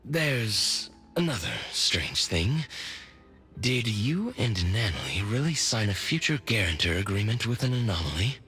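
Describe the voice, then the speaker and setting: An actor playing a polite, earnest teammate in a video game, reporting in an expressive manner. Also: male voice, on the low side, unhurried, heavily acted. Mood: puzzled, earnest. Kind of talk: scripted video game voice acting. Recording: music behind the voice.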